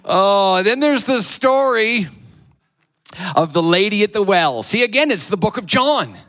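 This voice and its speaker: A man preaching from the pulpit, taking his time over every phrase. His voice is bright and a little high, and he lets it swell loud and then fall away again. He keeps repeating lines to drive the point home. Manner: sings hymn lines softly, repeats phrases. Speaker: pastor. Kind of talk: sermon.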